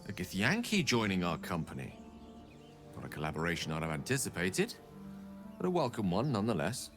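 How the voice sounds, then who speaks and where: Fields: wry, vain wizard scholar, lively and low. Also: male voice, brisk, soft background music, heavily acted. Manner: wry, self-assured, playful. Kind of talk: video game dialogue.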